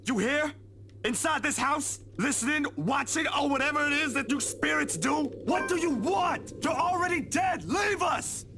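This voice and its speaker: A man's voice: extremely high pitch, animated, normal speed, shouting, music in the background, pitched above the performer's own voice. A man voicing a desperate young visual novel hero, urgent and emphatic as he pleads and warns. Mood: defiant desperation.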